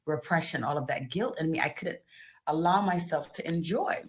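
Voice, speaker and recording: A woman's voice: deep voice, moderate pace. A woman from the United States telling personal stories in a casual interview as a therapist guest, expressively acting out reactions. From United States, born in New York. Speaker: guest, tantric therapist and psychotherapist.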